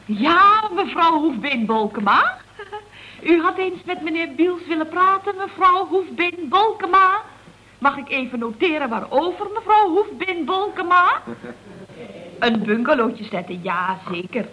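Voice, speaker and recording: An actress playing a sharp-tongued, scheming female telephonist at a building firm, mock-polite and theatrically drawn-out, in a radio comedy serial. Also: very high voice, expressive, exaggerated voice. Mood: polite, businesslike. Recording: noisy old radio recording.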